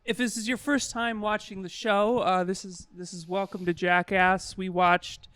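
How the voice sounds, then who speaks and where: A woman's voice: medium pitch, flat delivery, moderate pace, fairly bright tone, no echo. A woman with a Midwestern accent co-hosting a comedy podcast, rambling through stories with ums and restarts and laughing at her own jokes. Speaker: podcast co-host. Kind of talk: casual comedic chat.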